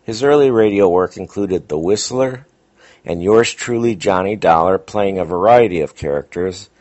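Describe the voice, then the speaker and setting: A male host reads scripted narration about vintage radio shows. His voice sits on the low side. The recording is dull, with the top end missing. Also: normal speed, a little mellow. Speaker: podcast host introducing old radio episodes. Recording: dull, lo-fi sound.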